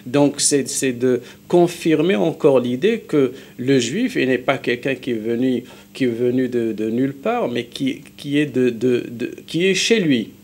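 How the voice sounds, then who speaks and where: A man with a Moroccan accent gives explanations as a guest expert in a studio interview. His pitch is middling, and he often stops, repeats and rewords his points as he goes. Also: darkish tone. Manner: halting, repeats and rephrases himself. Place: television studio.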